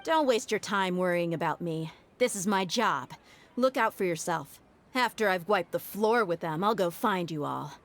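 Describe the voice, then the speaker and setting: Brash, swaggering mercenary bodyguard. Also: female voice, on the low side, lively intonation, moderate pace, slight background noise. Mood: confident. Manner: casual, swaggering. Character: tough, cocky female mercenary bodyguard, brash yet loyal. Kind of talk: anime game voice acting.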